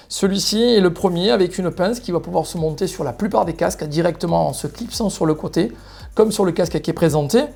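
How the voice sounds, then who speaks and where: A man's voice: high-pitched, vocal fry, warm tone. A man giving an explanatory, semi-scripted product presentation straight to viewers as a shop presenter. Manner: explanatory, addressing viewers directly.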